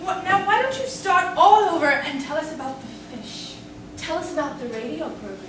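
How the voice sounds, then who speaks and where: Woman playing an excitable, very high-pitched girlish castaway. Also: moderate pace. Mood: excitable, curious. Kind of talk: staged comic radio play reading. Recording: very echoey room, distant mic, clear noise.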